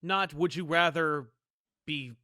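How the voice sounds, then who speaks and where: A man's voice: on the high side, takes it slowly, pushed and bright, no room sound, studio quality. A man hosting a podcast in casual chat, joking and turning theatrical when he quotes people. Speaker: podcast host.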